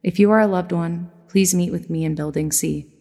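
A woman playing a weary, burdened survivor camp leader in a naturalistic audio drama, with a husky, gravelly voice. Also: on the low side, fairly even intonation, normal speed, warm tone. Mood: solemn.

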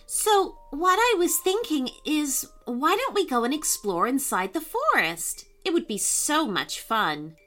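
A woman's voice: high voice, big pitch swings, unhurried, hardly any pauses, fairly bright tone, faint music behind, pitched above the performer's own voice, heavily acted. A woman voicing a bold, bossy, playful girl adventurer in a children's story. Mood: eager. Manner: teasing, confident.

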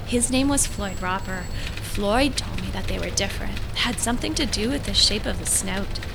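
A woman's voice: medium pitch, animated, moderate pace, gravelly, noisy background. A woman playing an intense, needy, eccentric would-be writer in an audio drama, with an earnest, dramatic, insistent delivery. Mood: earnest, rambling.

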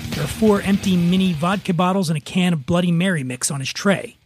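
A man's voice: high voice, a little fast, soft background music. A man hosting a podcast, talking casually and narrating a script. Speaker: podcast host and writer.

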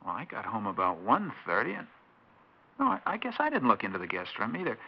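An actor playing an ordinary, bluff and impatient husband in a 1960s radio drama. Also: male voice, fairly low pitch, wildly swooping pitch, fairly quick, gravelly, slightly rough, full character voice. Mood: baffled. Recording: dull 1960s radio recording.

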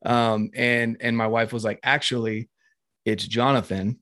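A man's voice: mid-range voice, level tone, measured pace, fairly bright tone, no echo, studio quality. A man from North Carolina in casual podcast chat, talking at length with frequent laughs and fillers. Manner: chatty, laughs often, lots of fillers.

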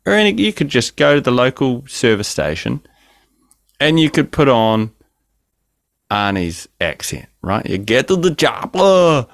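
Lively crude-joking man on a podcast. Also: medium pitch, measured pace, vocal fry, no room sound, studio quality. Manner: crude, jokey, swings between mumbling and exclaiming. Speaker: podcast co-host. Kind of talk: casual podcast banter. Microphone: remote call mic.

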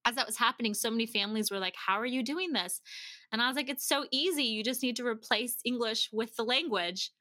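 A woman's voice: on the high side, fast, sharp tone, no room sound. A woman speaking as a podcast guest, chatting and telling stories conversationally, acting out bits of dialogue as she explains. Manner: chatty, acts out quoted dialogue. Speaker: podcast guest, language-learning company founder. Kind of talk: animated conversational storytelling and explaining.

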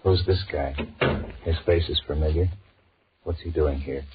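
Very deep-voiced panicky businessman. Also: steady tone, normal speed. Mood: startled, anxious. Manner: stammering aloud, muttering anxious repeated thoughts. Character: panicky married businessman, blustering and cowardly, secretly scheming. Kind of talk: 1940s radio horror acting with inner monologue. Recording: muffled, noisy 1940s radio recording.

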